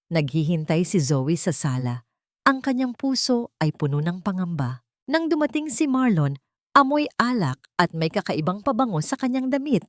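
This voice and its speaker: A woman's voice: on the low side, no room sound, clean studio sound. A woman narrating a scripted romantic story in an emotive, dramatic way. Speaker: narrator of romantic audio stories.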